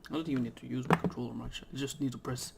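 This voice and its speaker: A man's voice: medium pitch, steady tone, very fast, creaky. Rambling man with a scratchy throat. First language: Dutch. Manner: rambling and hesitant, many fillers. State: throat irritated, clearing it. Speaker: gaming YouTuber. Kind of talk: casual let's play commentary. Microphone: desk microphone.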